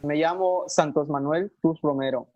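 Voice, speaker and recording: A young man from Yucatán, a Maya speaker, answering interview questions in a relaxed, friendly way with many fillers. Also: a little high, normal speed, dry recording. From Yucatán, Mexico. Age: young adult. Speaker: interviewee, Maya language teacher. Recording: dull lo-fi sound.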